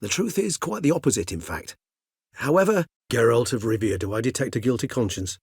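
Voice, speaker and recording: An actor playing an urbane, erudite ancient male vampire in a video game, speaking in eloquent, formal, precise phrases with a wryly measured manner. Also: medium pitch, very animated, breathy edge, no room sound, clean studio sound. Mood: thoughtful, courteous. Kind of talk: video game character acting.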